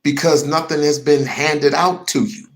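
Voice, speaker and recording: A man's voice: a little high, fairly even intonation, measured pace. A man hosting a heated commentary rant, slangy and swearing, repeating himself for emphasis. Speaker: commentary show host.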